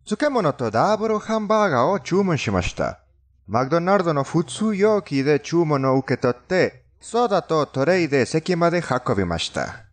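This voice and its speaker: A man's voice: a little high, very animated, normal speed. A man with a non-native accent delivers scripted countdown narration in a formal, somewhat stilted storytelling manner. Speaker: narrator of a fandubbed countdown video.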